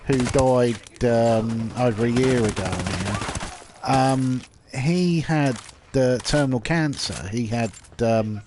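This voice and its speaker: Slow, nasal man livestreaming. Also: mid-range voice. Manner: hesitant, many ums and restarts. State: nasal, bunged up from hay fever. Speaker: livestreamer playing a video game. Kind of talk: casual rambling commentary over gameplay. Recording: game sounds and vehicle noise behind. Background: video game audio with vehicles.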